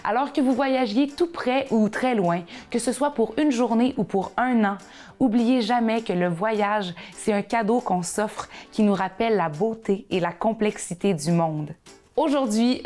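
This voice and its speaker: A woman, reading a scripted, reflective narration in a measured way. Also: mid-range voice, darkish tone, soft background music. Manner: measured, thoughtful voice-over.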